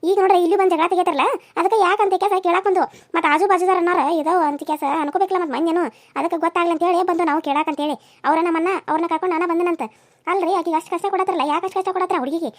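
A woman's voice: very high voice, steady tone, rapid-fire. A woman voicing an outspoken, protective, motherly neighbour in a family melodrama, indignant, with a North Karnataka Kannada accent.

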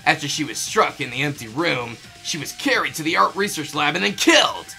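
Man acting an earnest, forceful boy detective. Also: on the high side, expressive, brisk, gravelly, full character voice. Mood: determined. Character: earnest teenage boy detective building an accusation. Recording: game music behind.

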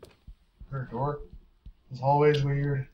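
A man's voice: mid-range voice, little pitch movement, measured pace. Muttering gamer man, dull lo-fi mic. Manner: wry, muttering, thinking aloud. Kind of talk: casual let's play commentary. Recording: slightly dull lo-fi mic with faint hiss.

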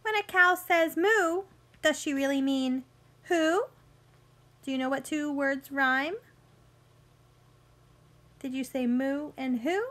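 Slow, high-voiced woman reading to children. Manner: expressive, sing-song, simple teacherly phrasing. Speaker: teacher reading a picture book aloud. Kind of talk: children's read-aloud with questions to young listeners.

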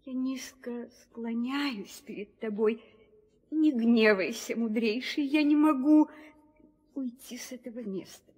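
An actress playing a devout, fearful young wife of a hero in a 1960s audio drama. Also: female voice, a little high, slow, a little mellow, slightly rough. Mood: meek, reverent. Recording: old studio recording with music.

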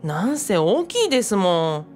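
Woman acting Kaoru, polite lively young assistant. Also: medium pitch, normal speed, faint music behind, close to an everyday voice. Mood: friendly, animated. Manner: courteous, animated. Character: Kaoru, polite, bashful, level-headed young female assistant. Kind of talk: radio drama acting.

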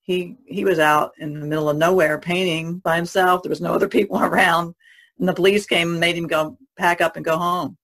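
A woman's voice: lowish voice, steady tone, fairly quick, a bit bright. A woman speaking casually as a podcast panelist.

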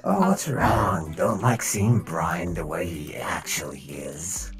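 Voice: low-pitched, lively intonation, slow pace, soft background music. A performer voicing a sly, menacing animatronic villain in a game roleplay, smug and goading.

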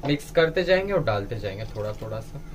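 A man's voice: fairly low pitch, sing-song, fast. A man giving a step-by-step cooking tutorial as a home cook, instructing viewers directly. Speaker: home cook presenting a recipe.

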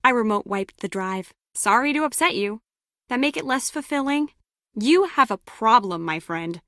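A woman's voice: a little high, lively intonation, moderate pace, a bit bright, no room sound, studio quality. An actress playing a brusque, sarcastic lone-wolf female spy in a video game, with a curt, biting delivery. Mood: scornful, commanding. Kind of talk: scripted game character dialogue.

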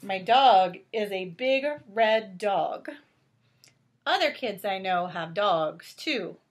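A middle-aged woman reading a picture book aloud to children as a teacher, expressive and deliberate, with drawn-out emphasis. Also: medium pitch. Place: small home bedroom, indoors.

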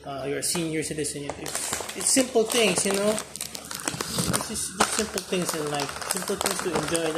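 A casual reviewer in their thirties speaks with a Filipino accent as a native Filipino speaker. The talk rambles and circles back on phrases at a middling pitch. The recording is echoey, with clear background noise. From Philippines. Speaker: snack reviewer. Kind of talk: casual chat, mixing Tagalog and English. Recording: roomy echo, noisy background, clicks.

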